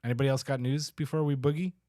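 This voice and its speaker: A man's voice: medium pitch, steady tone, racing, dark tone, dry recording. Casual man hosting a podcast, close dry sound. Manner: informal, slangy, mildly profane. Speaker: podcast host. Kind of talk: casual chat, wrapping up a podcast.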